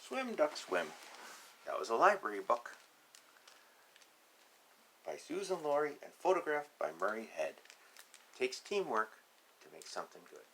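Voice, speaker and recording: A man's voice: mid-range voice, wildly swooping pitch, unhurried, long pauses, sharp tone, a little hiss or hum, poor audio. A man reading a picture book aloud to young children, explaining simply with repeated asides. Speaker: storybook reader.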